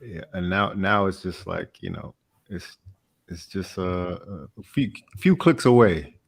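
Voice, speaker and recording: A man's voice: sits low, expressive, unhurried. A man chatting casually as an auditioning actor on a live stream. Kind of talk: casual chat.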